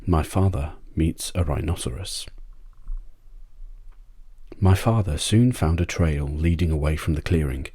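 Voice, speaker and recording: Very deep husky man reading bedtime stories. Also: a little slow, long pauses, mellow. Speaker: audiobook narrator. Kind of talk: ASMR bedtime story narration.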